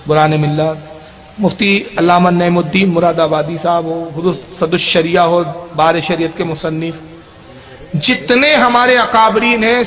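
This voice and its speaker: Man, high-pitched, emphatic sermon delivery, argumentative, dull low-fidelity recording. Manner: emphatic, argumentative, with rhetorical questions. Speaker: Islamic cleric. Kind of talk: religious question-and-answer sermon. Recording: dull, lo-fi audio.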